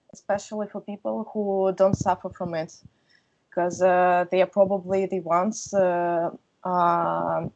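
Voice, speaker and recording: A woman hosting a podcast interview speaks slowly and haltingly, filling pauses with "uh". Her voice sits on the lower side. The recording is dull and lo-fi, with clear noise underneath. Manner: halting, frequent "uh" fillers.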